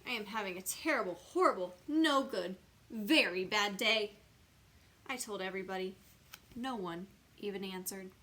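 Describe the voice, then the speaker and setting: A woman's voice: medium pitch, pushed and bright, close to an everyday voice. Woman voicing a grumpy, whiny young boy. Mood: sulky. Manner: whiny, indignant, dramatically drawn-out complaints. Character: grumpy, sulky young boy complaining about his bad day.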